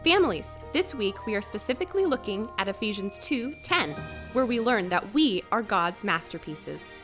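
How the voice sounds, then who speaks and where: A woman's voice: mid-range voice, very animated, normal speed, music in the background. A woman host addressing families in a scripted outro to a children's audio drama.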